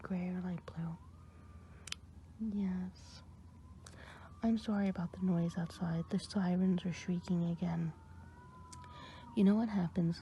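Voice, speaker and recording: Kindly witch played by a woman, soft mellow whisper, patient ASMR roleplay tone. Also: lowish voice, slight background noise, natural voice. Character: kindly good witch woman running an eye exam.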